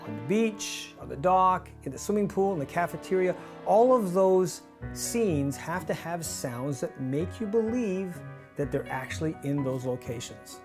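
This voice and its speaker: A man in his fifties with a North American accent presents a scripted behind-the-scenes explainer in a measured, clear manner. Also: high voice, lively intonation, over music. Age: 50s. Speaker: radio drama director and presenter. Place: small indoor studio office with monitors. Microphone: lavalier on shirt.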